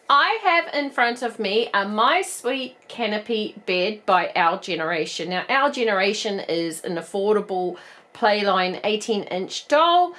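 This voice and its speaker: A woman in her thirties with a New Zealand accent, croaky from a cold, chatting bubbly through a casual doll unboxing review. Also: mid-range voice, fairly bright tone. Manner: chatty, bubbly, laughs often. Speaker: doll collector vlogger.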